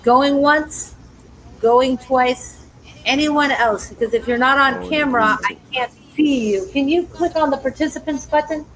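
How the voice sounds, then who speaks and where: A woman's voice: sits high, unhurried, a bit bright, a little hiss or hum, poor audio. A woman hosting a live talk show, upbeat and chatty, speaking directly to her studio and online audience.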